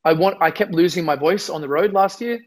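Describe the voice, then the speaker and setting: A man's voice: high voice, a little fast. A young man, a musician chatting in an interview with a slightly worn voice, rambling and self-deprecating. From Australia. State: losing his voice. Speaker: musician guest. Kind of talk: casual interview chat.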